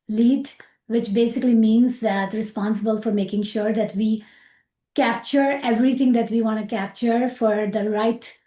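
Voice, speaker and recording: A middle-aged woman with a Pakistani accent, an interview guest talking about her work with animated repetitions and fillers. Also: fairly high pitch, reverberant. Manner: animated, repeats words, frequent fillers, laughs easily. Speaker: rocket scientist guest. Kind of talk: casual interview chat.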